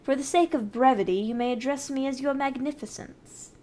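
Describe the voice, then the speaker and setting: A woman's voice: high voice, fairly quick, darkish tone, close to an everyday voice. A woman playing a haughty, ruthless evil sorceress queen in an ASMR roleplay, speaking in an imperious, menacing, condescending way. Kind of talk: scripted ASMR roleplay addressed to the listener.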